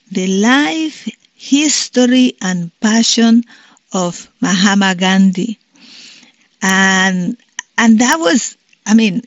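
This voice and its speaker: A woman in her sixties from Colombia with a Colombian Spanish accent, telling her life story in a reflective way, pausing and restarting often. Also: medium pitch, no room sound, muffled recording. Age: 60s. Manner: reflective, many restarts and pauses, soft-spoken. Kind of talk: personal life story, unscripted.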